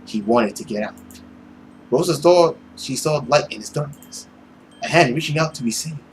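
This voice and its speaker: A man hosting a gaming video, moving between dramatic story reading and casual commentary in an expressive, theatrical way. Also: medium pitch, normal speed, slight background noise, poor audio.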